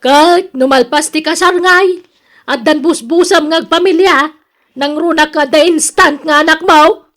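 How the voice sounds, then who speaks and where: An actress playing a domineering mother of a grown son, scolding and nagging loudly in radio drama style. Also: sits high, unhurried. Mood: scolding, disapproving. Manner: loud, nagging.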